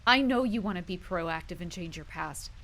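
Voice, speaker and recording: Woman acting an earnest, expressive young superheroine. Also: lowish voice, normal speed. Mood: earnest pleading. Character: earnest young superheroine, brave and caring, pleading for peace. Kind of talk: audio drama acting.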